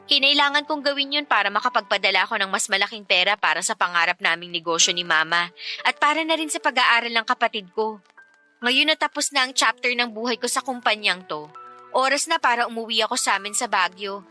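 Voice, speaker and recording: A woman's voice: on the high side, a little fast, sharp tone, soft background music. A woman playing a self-sacrificing young working woman in a Tagalog radio drama, earnest, emotional and firm. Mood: sad, resigned.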